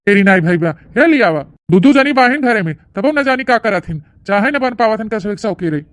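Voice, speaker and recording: A woman's voice: a little high, lively intonation, brisk, no echo, studio quality, close to an everyday voice. A woman with an Awadhi accent voicing a bossy, short-tempered young brother-in-law who grumbles and scolds in a comedy cartoon. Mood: irritated, scolding.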